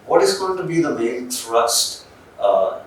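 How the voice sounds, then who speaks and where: A male podcast host speaks in a polite, measured interviewing style. His voice sits at a middle pitch. It is picked up from a distance in a very echoey room with faint noise. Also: sing-song. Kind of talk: conversational formal interview.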